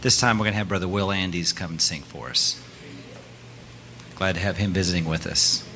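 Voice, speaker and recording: A man's voice: deep voice, fairly even intonation, normal speed, noisy background. A man leading a church service, thanking the singers and introducing the next one. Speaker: service leader.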